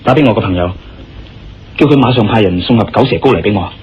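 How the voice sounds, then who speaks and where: A man with a Hong Kong Cantonese accent playing a confident, persuasive injured adventurer in a radio drama, smooth-talking and assertive. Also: lowish voice, fast talker, a little hiss or hum, natural voice. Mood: coaxing, earnest. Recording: dull lo-fi radio drama recording. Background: music.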